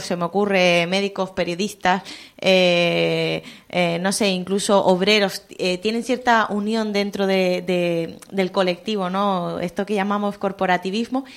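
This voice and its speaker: A woman with a mid-range voice hosts a radio interview from the studio. She puts her questions to the guests in a measured way, with occasional hesitations. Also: mid-range voice, fairly even intonation. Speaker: radio host.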